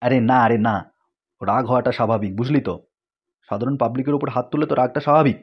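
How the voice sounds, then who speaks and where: A man's voice: medium pitch, fast talker, husky, muffled recording, lightly acted. A man voicing a chatty, indignant cartoon buddy, colloquial and emphatic in a comic dub.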